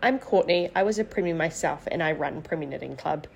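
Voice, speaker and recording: A woman's voice: sits low, expressive, brisk, creaky, a little mellow, a little hiss or hum. A woman reading a scripted sponsor message for the charity she runs. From New Zealand.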